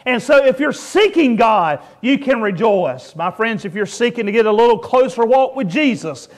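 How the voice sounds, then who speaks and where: A pastor preaching to his congregation in a strikingly high voice. This man slows down to press on key words and keeps asking the room for an amen. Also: lively intonation. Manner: preaching with drawn-out, emphatic calls to rejoice; asks the congregation for amens. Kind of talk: sermon. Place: church.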